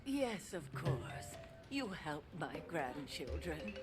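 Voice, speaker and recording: A woman's voice: sits low, very animated, takes it slowly, loud music behind the voice, heavily acted. A woman playing a welcoming, hospitable old grandmother from a village in a video game. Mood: kindly. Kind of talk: video game cutscene acting.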